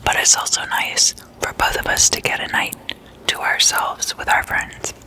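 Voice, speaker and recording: An ASMR host whispering in an intimate slumber-party chat, addressing listeners as guests and softly repeating words. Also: normal speed. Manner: repeats single words in soft trailing echoes.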